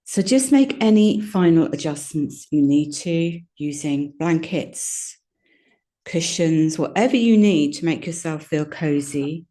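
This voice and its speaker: Low, mellow-voiced woman guiding meditation. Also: expressive. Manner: soothing, gentle, unhurried instructions with pauses. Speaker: yoga nidra meditation guide. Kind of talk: guided meditation.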